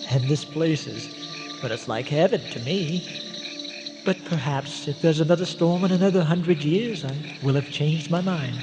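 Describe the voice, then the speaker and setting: An actor playing an earnest, eccentric castaway scientist in a radio drama, speaking formally with hesitant stammers. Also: male voice, fairly high pitch, close to an everyday voice. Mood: wistful. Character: eccentric, long-stranded scientist explorer, earnest and courtly. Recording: noisy radio drama, long cavernous reverb.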